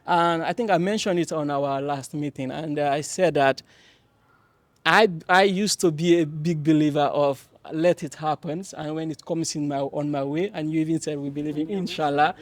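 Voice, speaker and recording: Soft-spoken young man from Mali. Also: a little high, steady tone, normal speed, no echo. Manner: hesitant, many fillers and restarts, warm laughs. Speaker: panelist. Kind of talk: panel discussion, personal account.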